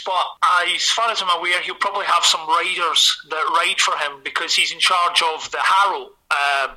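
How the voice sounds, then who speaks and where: A middle-aged Glaswegian man talks through a thin, phone-like line. His voice sits high and sounds very bright and forward. He often stops and restarts his sentences. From Scotland. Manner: hesitant, lots of uh and restarts. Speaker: author guest. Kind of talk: conversational interview.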